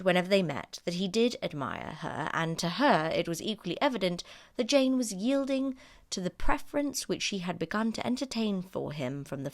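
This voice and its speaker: A woman reading literary narration for an audiobook in a measured, articulate way. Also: fairly low pitch.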